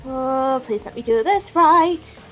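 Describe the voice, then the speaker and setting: A woman's voice: sits high, animated, takes it slowly, music in the background. A woman playing a tabletop game, chatting casually and playfully, sometimes speaking in character.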